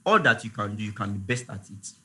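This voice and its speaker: A man, chatting casually as a guest on a talk show, relaxed and repeating his words. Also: on the low side, fast talker. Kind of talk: casual chat.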